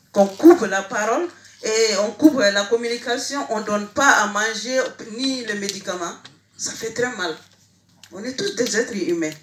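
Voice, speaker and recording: A woman from Mali making an emphatic, halting, impromptu plea at a public meeting in an echoey room, repeating her phrases. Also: fairly high pitch. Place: indoor meeting hall.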